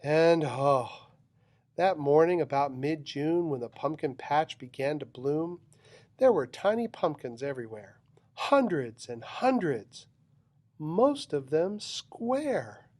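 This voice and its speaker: Guy reading a kids picture book out loud, kinda high voice, slow n dramatic, bouncy rhymes, no room sound. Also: animated, dry recording. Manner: dramatic, rhythmic delivery of rhyming verse. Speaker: storybook reader. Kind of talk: expressive children's picture book read-aloud.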